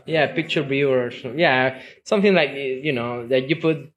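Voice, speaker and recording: A man's voice: medium pitch, normal speed, a bit bright. A man from Spain and Uruguay with a Spanish accent, a native Spanish speaker, giving an informal slideshow talk as a documentary photographer, often repeating words and restarting his sentences. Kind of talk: informal slideshow presentation to an audience.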